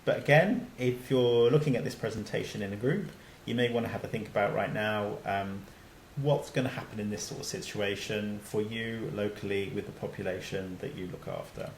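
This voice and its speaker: A man with a lowish voice delivers a recorded medical lecture over slides. He talks in a relaxed, conversational way, often pausing on fillers and restarting phrases. The sound is roomy, with faint noise behind. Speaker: doctor giving a lecture.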